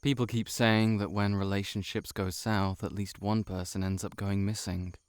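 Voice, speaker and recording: A man playing a shy, heartbroken friend in an intimate audio roleplay, confiding haltingly to the listener. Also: fairly low pitch, level tone, clean tone, dry recording, studio quality, close to an everyday voice. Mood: uneasy, wry. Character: shapeshifting monster posing as a shy, heartbroken male friend.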